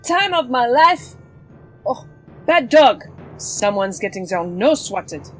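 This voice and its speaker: A woman's voice: on the high side, big pitch swings, slow pace, a bit bright, faint music behind, exaggerated voice. A woman playing a plucky, brash swordfighting heroine in an audio drama, bold and sardonic. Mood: strained, sardonic.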